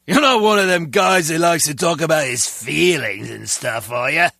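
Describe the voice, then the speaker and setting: A man playing a crude, cocky henchman guard in a spy video game, with a raspy voice. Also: fairly high pitch, animated, moderate pace, bright, forceful tone, no echo, studio quality, full character voice. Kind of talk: video game dialogue acting.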